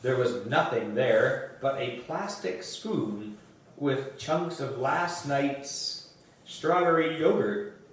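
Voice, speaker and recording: A man reading a children's story aloud in an animated way, with dramatic emphasis. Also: mid-range voice. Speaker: audiobook reader for a book club. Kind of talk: expressive children's storybook narration. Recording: roomy echo, distant microphone.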